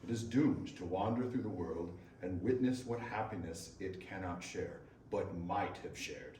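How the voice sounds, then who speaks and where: A man playing the tormented ghost of a dead business partner in a Victorian readers theater tale, solemn, declamatory and deliberate. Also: sits low, dark tone. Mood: grave, mournful. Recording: echoey room, distant mic, faint noise.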